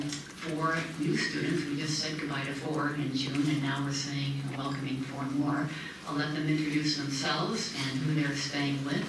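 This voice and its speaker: A woman volunteer for a student exchange program, presenting at a city meeting, friendly, with um fillers. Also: low-pitched. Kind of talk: public presentation. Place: council chamber. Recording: echoey chamber, distant mic, hum.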